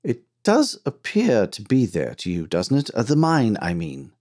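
A man voicing a sensible, curious gentleman explorer in an audiobook, polite and somewhat hesitant. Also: on the low side, huge pitch swings, unhurried, full character voice. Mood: puzzled. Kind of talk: audiobook character dialogue.

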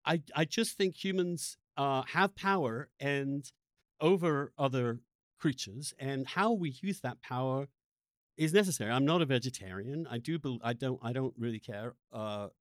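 A man hosting an interview podcast, speaking reflectively and earnestly in halting, emphatic phrases that often break off and restart. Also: mid-range voice, no room sound, clean studio sound. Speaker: podcast host interviewing a guest.

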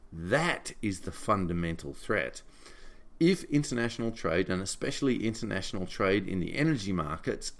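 A man giving informal solo political commentary in a deliberate, emphatic and opinionated way. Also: sits low. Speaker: podcast host and writer summarizing own article.

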